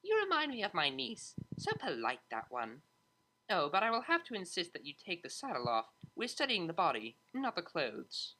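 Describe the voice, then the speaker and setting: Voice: mid-range voice, huge pitch swings, moderate pace, heavily acted, low-quality recording. A narrator voicing a friendly, easygoing older teacher mare with a kindly, chatty manner. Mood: fond. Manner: kindly, gently joking, chatty.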